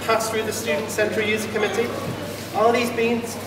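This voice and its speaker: A man's voice: high-pitched, little pitch movement, a little fast, a loud crowd, natural voice. A man playing a pompous, nitpicking health and safety officer in an amateur stage pantomime, fussy and officious. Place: large hall stage with audience. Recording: echoey hall from far off, audience noise.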